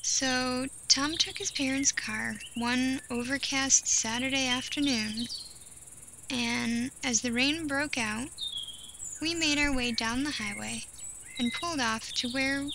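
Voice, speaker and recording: High-voiced woman narrating a creepy story. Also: steady tone, takes it slowly. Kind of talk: scripted first-person creepypasta narration. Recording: clear background noise of nature ambience.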